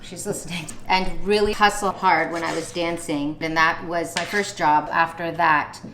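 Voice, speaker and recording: A woman's voice: lowish voice, steady tone, normal speed, fairly bright tone. A woman in her thirties with a chatty, confident manner, talking casually with playful asides while she cooks at home. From Canada. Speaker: vlogger. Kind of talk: casual chat while cooking. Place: home kitchen. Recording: echoey kitchen, faint noise.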